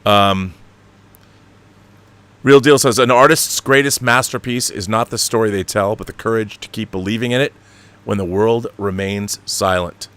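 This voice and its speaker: A man's voice: lowish voice, normal speed, fairly bright tone. A man hosting a live stream, reading out viewer comments and giving his opinions in a casual, rambling, conversational way. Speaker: live stream host answering viewer messages.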